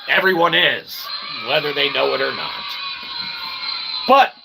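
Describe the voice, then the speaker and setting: A man's voice: a little high, a little slow. A man hosting a live stream in a rambling, wordy, mock-formal way, often checking in with short tag questions. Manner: wordy, pseudo-formal phrasing with frequent "okay?" tags. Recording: clear background noise, room ambience.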